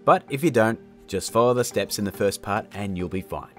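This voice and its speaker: A man's voice: fairly low pitch, brisk. A man in his thirties narrating a tutorial in a casual, informal way. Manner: informal, addresses viewers as guys. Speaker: host of audio effect tutorials. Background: background music.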